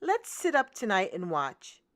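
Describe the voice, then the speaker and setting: A woman's voice: medium pitch, wildly swooping pitch, unhurried, a bit bright. A woman voicing a kindly, concerned shoemaker's wife in a children's storybook, speaking tenderly and expressively. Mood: curious. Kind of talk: children's storybook read-aloud.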